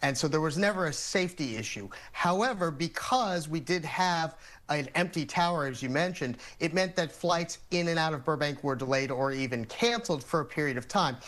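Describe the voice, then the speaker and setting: An American man speaking as an expert guest in a TV news interview, explaining things in a measured, methodical way. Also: fairly high pitch, animated, a bit bright.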